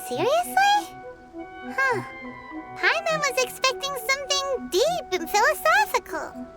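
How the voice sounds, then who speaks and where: A woman voicing a tiny, childlike, curious fairy companion in a video game, perky and squeaky, with music behind her. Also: big pitch swings, slow pace, full character voice. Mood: surprised. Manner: squeaky, perky, speaks of herself in third person.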